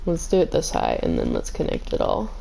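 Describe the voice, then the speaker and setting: A woman streaming a game, chatting casually with viewers, her voice a little hoarse and scratchy, kept calm and low. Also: brisk. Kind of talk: casual gaming stream chat.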